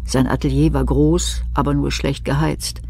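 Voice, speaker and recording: A woman's voice: very deep voice, flat delivery, normal speed, gravelly, a little mellow, slight background noise. A woman narrating a German crime audio drama in a scripted storytelling style.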